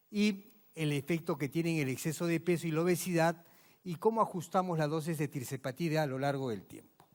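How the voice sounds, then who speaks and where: A man's voice: a little high, darkish tone. A male physician giving a medical conference lecture, emphatic and addressing the audience with rhetorical questions. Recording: long reverb of a large hall.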